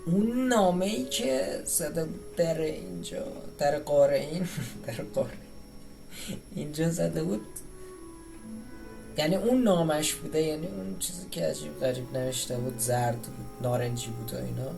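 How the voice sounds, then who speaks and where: A woman's voice: low voice, racing, gravelly. A woman who is a native Persian speaker, casually commenting as she plays a video game. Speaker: game streamer. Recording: distant mic over loud game music.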